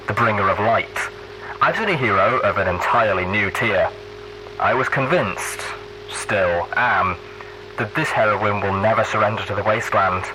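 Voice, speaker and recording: Man acting a deep-voiced, solemn wasteland radio DJ. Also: noisy background, close to an everyday voice. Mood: grave. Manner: folksy, solemn preaching. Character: earnest pony radio DJ addressing wasteland listeners as children. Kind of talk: post-apocalyptic radio monologue.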